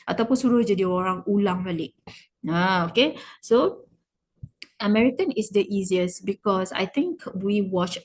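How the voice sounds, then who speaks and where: A Malaysian-accented woman teaches an informal class in a slightly husky voice at a middling pitch. She mixes English and Malay freely, encourages her learners and drives points home by repeating key words. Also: expressive, normal speed. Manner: encouraging, emphatic with repetition. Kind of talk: informal online class, explaining.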